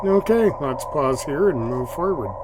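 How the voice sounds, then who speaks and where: Man musing slowly over loud radio noise. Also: fairly high pitch, lively intonation. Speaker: amateur radio hobbyist, channel host. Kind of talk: casual off-the-cuff commentary while scanning radio. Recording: loud radio static and beeping behind. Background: shortwave radio noise and tones.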